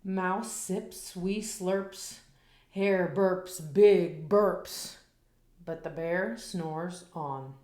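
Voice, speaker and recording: A woman reading a children's picture book aloud in a slow, expressive, rhythmic way, after a casual spoken intro. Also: fairly low pitch, reverberant.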